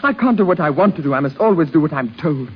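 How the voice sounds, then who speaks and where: An actor playing a sensitive young grandson in a 1950s radio drama, speaking earnestly and hesitantly, full of emotion. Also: male voice, on the high side, expressive, fast talker, slightly rough. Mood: passionate, yearning. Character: sensitive, yearning young musician grandson, shy but passionate. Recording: muffled, hissy 1950s radio recording.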